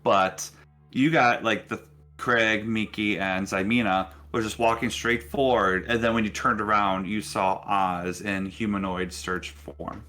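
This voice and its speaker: A man narrating a tabletop game casually as game master, halting and thinking aloud as he goes. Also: lowish voice, fairly even intonation, pushed and bright, soft background music.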